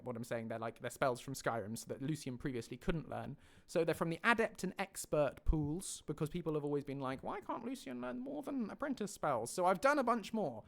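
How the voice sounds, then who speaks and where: A man's voice: high voice, gravelly. A man hosting a livestream, casually explaining updates to viewers in an enthusiastic, rambling way. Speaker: mod creator and livestream host.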